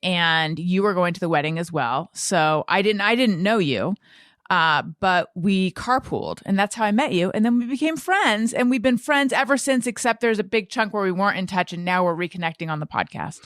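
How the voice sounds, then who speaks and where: A woman's voice: medium pitch, moderate pace, fairly bright tone, no room sound, studio quality. A middle-aged American woman hosting a podcast, chatting casually in a rambling, self-deprecating way. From Orange County, United States. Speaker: podcast host. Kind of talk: casual conversational interview.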